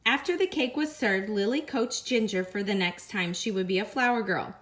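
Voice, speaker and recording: A woman teacher, reading a picture book aloud to her students in an expressive way, slowing down on repeated phrases and lifting her voice for the characters' lines. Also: medium pitch. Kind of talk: read-aloud storytelling.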